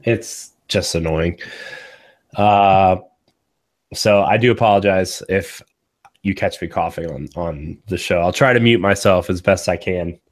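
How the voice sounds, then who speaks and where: Deep-voiced hoarse young man hosting. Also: unhurried. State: hoarse from a cold. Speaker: podcast host. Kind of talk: casual gaming podcast chat. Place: small home studio with green backdrop. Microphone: studio condenser mic on boom arm.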